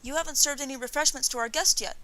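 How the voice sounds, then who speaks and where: A woman's voice: high voice, fast talker, pushed and bright, voice pushed up. A woman voicing an eager, self-important, pampered young samurai boy in an audiobook. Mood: cheeky, insistent. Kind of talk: audiobook character voice.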